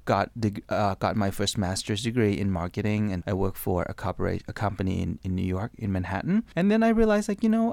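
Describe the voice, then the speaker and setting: A man's voice: on the low side, a little mellow, no echo. A man from Thailand who speaks English as a second language, telling his life story in an interview with frequent restarts and fillers. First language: Thai. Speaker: interview guest.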